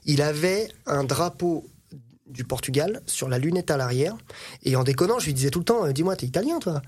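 A man in his thirties, a podcast guest telling animated anecdotes and laughing often. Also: on the high side, a little fast, breathy edge. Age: 30s. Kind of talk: casual chat, storytelling.

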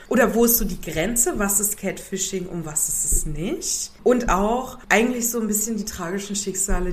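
Young woman hosting a podcast, bit stuffy from a cold, faint hiss behind her. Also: lowish voice. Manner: thoughtful, musing, slow stretches. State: has a cold. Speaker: podcast host. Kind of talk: casual podcast chat.

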